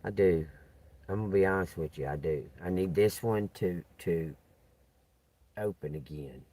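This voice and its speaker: A man hosting a live stream, chatting loudly and laughing often while telling profane stories. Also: sits low, normal speed. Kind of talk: rambling casual live stream chat.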